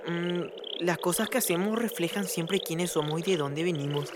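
Woman acting as young boy, Paraguayan accent, timid, excitable, hesitant, audio drama, clear noise behind. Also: lowish voice, moderate pace, close to an everyday voice. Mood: tentative, wondering. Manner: hesitant, stammering starts, expressive. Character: timid, excitable ten-year-old boy, kind to animals.

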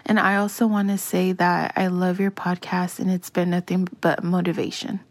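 A woman's voice: fairly low pitch, flat delivery, normal speed, no echo. A woman from California leaving a polite, earnest voice message question. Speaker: caller. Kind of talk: recorded voice message.

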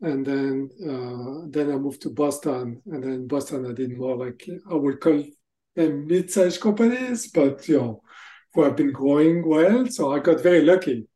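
A man's voice: on the high side, normal speed, warm tone. A middle-aged man from France with a French accent, answering interview questions in a hesitant, casual way with frequent restarts. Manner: hesitant, restarts phrases, laughs between thoughts. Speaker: guest, tech executive.

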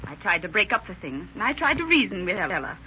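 Fussy middle-aged mom in old radio play, sharp voice, swingy pitch, crackly dull recording. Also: female voice, very animated, quick pace. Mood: indignant, fretful. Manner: prim, wordy, self-righteous. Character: fretful, indignant widowed mother of teenage daughters. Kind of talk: 1930s radio acting. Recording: scratchy muffled old-time radio recording.

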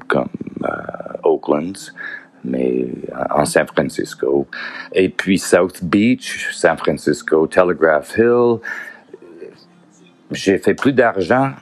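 Deep-voiced, halting man. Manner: halting, searching for words in a second language. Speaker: podcaster. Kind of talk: casual solo podcast monologue.

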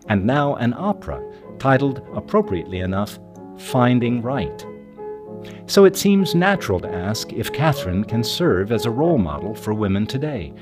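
A man hosting and reading scripted narration in a documentary style. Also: medium pitch. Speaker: host and narrator.

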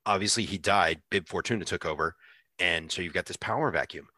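A man co-hosting a podcast, talking casually about a series as a fan, often pausing and restarting his thoughts. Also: deep voice, sharp tone, dry recording. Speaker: podcast co-host.

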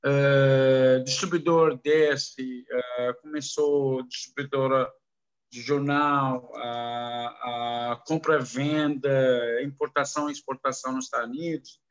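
A man speaking slow, halting, broken Portuguese as a non-native speaker, answering interview questions with many restarts. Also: medium pitch, steady tone, highs cut off. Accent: foreign, non-native Portuguese. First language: not Portuguese. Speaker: interviewee, businessman.

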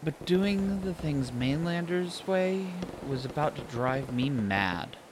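A man plays a young shipwright in an audio drama, pitched up from his natural voice. The character is blunt, proud and quick to snap, full of opinions. There is clear background noise. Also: animated. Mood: animated, scornful. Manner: snappy, opinionated.

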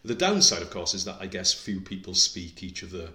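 Bright-voiced man, chatty podcaster. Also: deep voice, sing-song, fairly quick. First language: Welsh. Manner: chatty, enthusiastic, reeling off facts and figures. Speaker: podcast co-host. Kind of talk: casual podcast chat.

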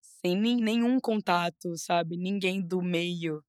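A young woman from Rio with a Carioca accent, chatting as a podcast guest, animated, often restarting and repeating words. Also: on the low side, slow pace, smooth, no room sound, studio quality. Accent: Carioca Portuguese. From Rio de Janeiro, Brazil. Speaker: model and influencer, podcast guest. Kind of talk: casual interview chat.

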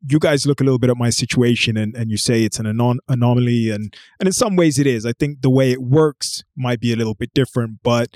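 A man's voice: medium pitch, darkish tone, dry recording, studio quality. A man born in Brazil, a podcast guest explaining his view in a hedging, roundabout way. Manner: rapid, hedging, full of "you know" fillers. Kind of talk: casual podcast discussion.

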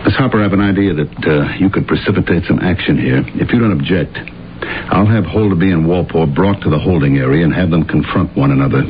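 An actor playing a shrewd, composed American police detective in a radio drama, measured and matter-of-fact. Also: male voice, low voice, close to an everyday voice. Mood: shrewd, calm. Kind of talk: 1970s radio drama acting. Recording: muffled, faintly noisy old radio broadcast.

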